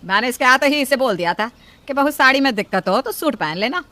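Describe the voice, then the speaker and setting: A woman's voice: sits high, animated, fast. A woman playing a strict, conservative older mother-in-law in a cartoon story, disapproving and scolding. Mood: stern. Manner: sharp, scolding. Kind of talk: animated cartoon story acting.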